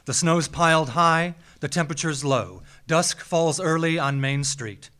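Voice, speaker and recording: An American man reads a scripted personal story live on stage, with a measured, theatrical delivery and deliberate dramatic pauses. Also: fairly high pitch, bright, forceful tone, clean tone. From small town in Minnesota, United States. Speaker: writer reading a personal essay. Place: small theatre, live event.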